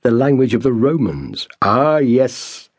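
A man's voice: mid-range voice, big pitch swings, slow pace, dry recording, clean studio sound. A man voicing a dim, pompous young British army officer with a plummy, blustering manner.

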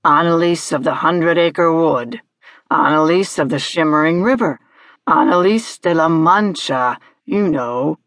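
A woman's voice: sits low, measured pace, fairly bright tone, no room sound, studio quality, pitched below the performer's own voice. A woman voicing an imperious, horrid hag who interrogates in a pompous, theatrical way in a storybook reading. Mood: imperious, demanding. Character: imperious, horrid invisible hag demanding answers, female.

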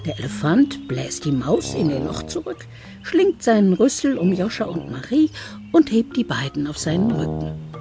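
A woman narrating a scripted children's story as an expressive audiobook storyteller. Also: on the low side, normal speed, vocal fry, loud music behind the voice.

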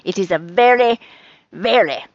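A woman's voice: mid-range voice, animated, a little slow, no room sound, dull audio. A woman playing an eccentric, brusque old woman who tells fortunes in a storybook, with theatrical, mysterious pronouncements. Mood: mystical.

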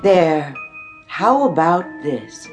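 Casting: an eerily courteous store clerk in a radio drama, low female voice, slow and measured, suave and coy. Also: very animated, music in the background, full character voice. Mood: smooth, coy.

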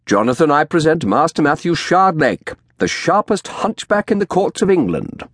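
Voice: mid-range voice, big pitch swings, moderate pace, husky, no room sound, clean studio sound, pitched above the performer's own voice. A man voicing a sneering, arrogant lawyer in a historical audiobook, with a mocking, theatrical manner.

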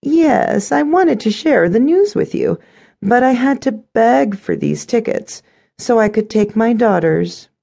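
A woman's voice: fairly high pitch, expressive, a little slow, creaky, dark tone, pitched above the performer's own voice, exaggerated voice. A woman narrator voicing a smug, conniving stepmother in an audiobook, sugary and condescending. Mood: falsely sweet.

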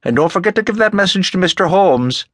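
A man's voice: high-pitched, lively intonation, fast talker, no echo, clean studio sound, pitched above the performer's own voice. A man voicing an amiable, teasing Irishman with a folksy, jovial way of talking in an audiobook. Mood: friendly. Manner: folksy, jovial turns of phrase. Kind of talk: audiobook character dialogue.

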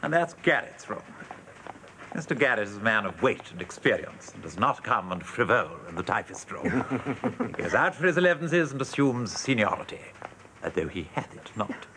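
A man's voice: on the low side, sing-song, normal speed, background noise. An actor playing a world-weary, sardonic English advertising man with a dry, mock-pompous manner in a radio drama. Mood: wry, breezy. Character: world-weary, sardonic male advertising copywriter showing newcomer around.

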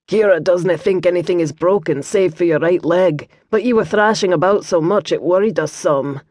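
A woman's voice: on the low side, normal speed, darkish tone, no room sound, studio quality, close to an everyday voice. A woman doing a prim Scottish monk. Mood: earnest. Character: pious Scottish male monk, fussy and flustered.

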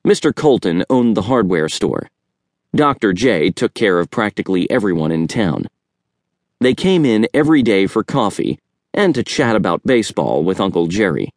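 A man's voice: fairly low pitch, sing-song, moderate pace, no room sound, clean studio sound. A man reading a children's story aloud as scripted audiobook narration.

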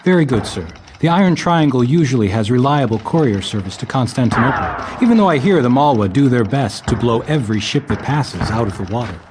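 An actor playing a dutiful, obliging military clerk in an audio drama, deferential but chatty. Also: male voice, medium pitch, expressive, fairly quick, few pauses, lightly acted. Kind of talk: full-cast audio drama acting. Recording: clear background noise and clicks.

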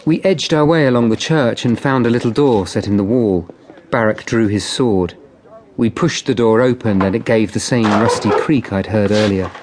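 Actor as earnest, measured Tudor lawyer. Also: male voice, fairly low pitch, darkish tone, slight background noise, lightly acted. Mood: measured narrating. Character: Tudor lawyer investigator, earnest, polite but persistent. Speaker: narrator and lead character. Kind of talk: full-cast radio drama, scripted narration and dialogue.

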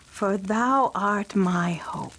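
A woman playing a devout, anxious, gentle young wife with an earnest, tender manner in a children's audio drama. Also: medium pitch, very slow, slightly rough, heavily acted. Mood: reverent.

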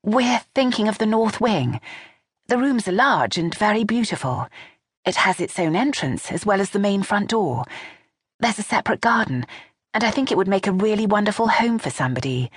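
A woman's voice: mid-range voice, very animated, normal speed, breathy edge, no echo, clean studio sound, voice pushed up. A woman voicing an anxious middle-aged widow who hesitantly makes her case to her mother-in-law. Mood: nervous. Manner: hesitant, nervous and placating.

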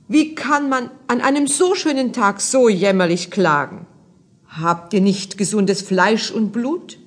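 A woman playing a mysterious, merry old little woman with a husky voice in a fairy-tale radio play. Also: mid-range voice, a little slow, close to an everyday voice. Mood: chiding, secretive.

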